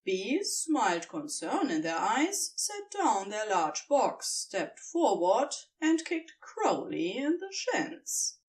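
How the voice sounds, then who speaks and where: A woman narrating fan fiction as an expressive scripted audiobook reading. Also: on the high side, slow pace, hardly any pauses, recorded from a distance.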